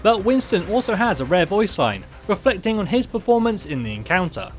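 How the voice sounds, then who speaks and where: A man's voice: high voice, sing-song, a little fast, soft background music. A man hosting a video, reading scripted narration. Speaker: video host. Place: close mic voice-over with music behind.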